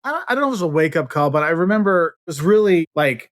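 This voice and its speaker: Middle-aged man, American accent, rapid speech, storytelling interview guest, close dry sound. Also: on the high side, no echo. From Illinois, United States. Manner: animated storytelling, acting out quoted dialogue. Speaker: guest, travel expert. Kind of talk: casual interview chat with anecdotes.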